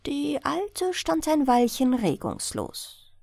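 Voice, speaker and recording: A woman's voice: sits high, slow pace, creaky, dry recording, clean studio sound. A woman reading a bedtime fairy tale expressively as an audiobook narrator. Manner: expressive storytelling.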